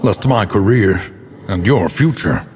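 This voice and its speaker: An actor playing a grave, erudite older scholar in a horror game, narrating a dark occult past with solemn, deliberate, ornate diction. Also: male voice, lowish voice, a little hiss or hum, lightly acted. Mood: grave, brooding. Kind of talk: dramatic scripted gothic narration. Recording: long cavernous reverb.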